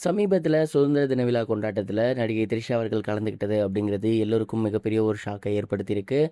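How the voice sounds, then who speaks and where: A man delivers online news commentary at a brisk pace. His voice is smooth and a little soft-toned, recorded close and dry. He mixes English words into his scripted delivery. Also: fairly low pitch, dry recording. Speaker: online gossip news presenter.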